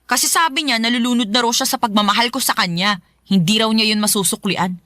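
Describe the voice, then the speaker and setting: A woman's voice: a little high, fast, sharp tone, dry recording, studio quality. A woman playing a lovestruck young woman in a radio drama, bantering expressively and candidly. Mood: exasperated, playful.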